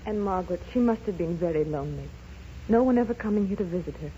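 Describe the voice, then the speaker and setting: An actress playing a wistful, grieving, soft-hearted woman in a 1930s radio drama, speaking haltingly and sorrowfully. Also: on the low side, expressive, natural voice. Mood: wistful, pitying. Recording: dull, noisy 1930s radio recording.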